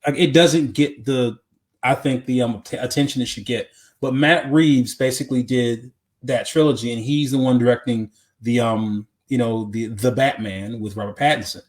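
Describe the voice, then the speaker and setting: A man co-hosting a podcast in casual conversation, talking haltingly with repeats and frequent check-in phrases to the listener. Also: medium pitch, steady tone. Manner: halting, repetitive, peppered with "you know what I'm saying". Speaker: podcast co-host. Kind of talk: casual chat about movies.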